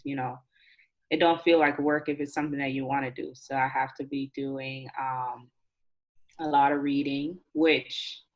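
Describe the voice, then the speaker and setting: A woman of twenty-eight, chatting casually and candidly as an interview guest and repeating phrases for emphasis, over a video call. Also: sits low. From Nassau, Bahamas. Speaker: interview guest, chemistry graduate student. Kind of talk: casual chat. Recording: dull, lo-fi video call audio.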